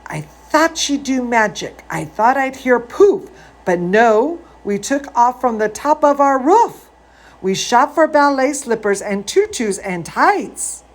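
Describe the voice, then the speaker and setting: A woman reading a picture book aloud to young children, gushing and expressive, with chatty asides to her listeners. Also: fairly high pitch, slow pace. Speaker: dance teacher hosting a children's story time.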